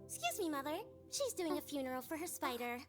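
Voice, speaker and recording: A very high-pitched innocent little child. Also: female voice, big pitch swings, fast talker. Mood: polite, meek. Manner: polite, childlike. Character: small, innocent, eager child of an orphanage. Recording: tinkling music behind the voice.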